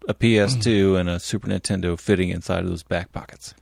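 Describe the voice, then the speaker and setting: A man's voice: deep voice, steady tone, fast, vocal fry, darkish tone, no room sound, clean studio sound. A man co-hosting a podcast in casual chat, rambling and reading headlines aloud. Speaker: podcast co-host.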